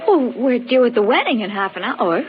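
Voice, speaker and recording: An actress playing an anxious, easily shocked young sweetheart in a melodramatic radio drama. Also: female voice, on the high side, very animated, brisk. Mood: anxious, pleading. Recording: muffled, very noisy old radio recording.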